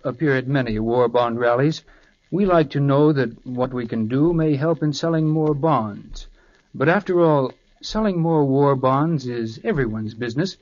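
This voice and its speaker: A man's voice: medium pitch, a little mellow. A man, an actor, speaking as himself in an earnest, persuasive scripted radio appeal. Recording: dull 1940s radio recording.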